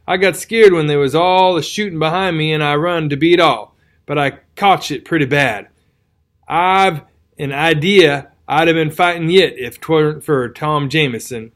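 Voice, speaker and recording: A man's voice: fairly high pitch, normal speed, a bit bright, natural voice. A man doing a rambling wounded country soldier. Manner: folksy, rambling, garrulous. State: weak from a wound, slurring. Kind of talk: audiobook character reading.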